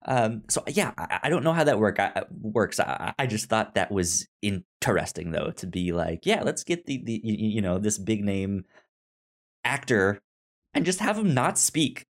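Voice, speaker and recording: A man's voice: on the low side, very animated, moderate pace. A man hosting a podcast in casual conversation, often stammering and repeating syllables and words. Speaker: podcast host.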